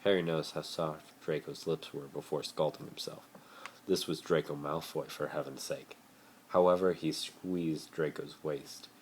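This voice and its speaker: A man's voice: rumbling low, fairly even intonation, normal speed, a little hiss or hum. A man doing an amateur dramatic reading of a story, stumbling over words and correcting himself, recorded on a phone. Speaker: amateur reader of fan fiction.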